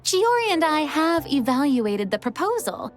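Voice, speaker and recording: A woman's voice: high-pitched, big pitch swings, normal speed. A woman playing a poised, theatrical lady of the arts in a video game, speaking in a refined manner. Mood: poised, encouraging.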